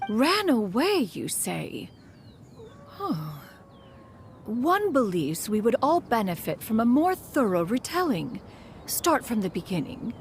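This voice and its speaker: A woman's voice: on the high side, very animated, slight background noise, full character voice. A woman voicing a proud, formal female crane spirit in a game, speaking in a stately, archaic way and calling herself "one". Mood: haughty. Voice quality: stately, measured. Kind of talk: game voice acting. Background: game music.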